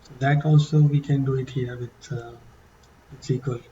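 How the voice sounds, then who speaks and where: A man with an accent walking through a software demo in a halting, casual way, with many fillers and restarts. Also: medium pitch, fairly even intonation, dull audio, slight background noise. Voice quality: non-native English accent. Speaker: tutorial presenter. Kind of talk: casual screen-share walkthrough.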